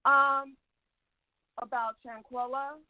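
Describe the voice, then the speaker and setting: A woman's voice: high-pitched, flat delivery, slow, dry recording. A woman calling in to a talk show, earnest and polite as she lays out her reasoning. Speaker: caller. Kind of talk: call-in commentary. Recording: tinny phone line.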